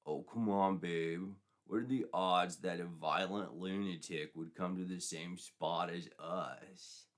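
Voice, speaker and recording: Man playing a slow, smug young boyfriend. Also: low voice. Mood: dismissive.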